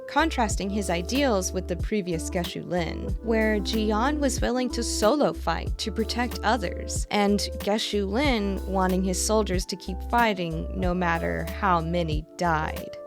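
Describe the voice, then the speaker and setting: Female narrator, slightly higher than average voice, dramatic storytelling emphasis, scripted game lore recap, voice-over with clearly audible music behind.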